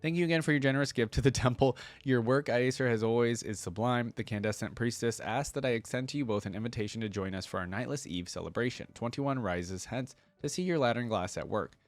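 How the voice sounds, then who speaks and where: Guy doing casual lore reaction commentary, talks really fast, dry close sound, explains as he goes. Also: mid-range voice, no room sound. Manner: explanatory, often checks with "right?". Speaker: reaction video host. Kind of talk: casual commentary and reading aloud of game lore.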